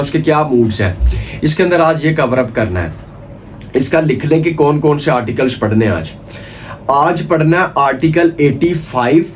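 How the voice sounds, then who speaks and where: A man with a Pakistani accent giving an informal law lecture, explaining step by step with repetition, frequent check-in tags and English terms mixed in. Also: fairly high pitch. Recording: noticeable background noise.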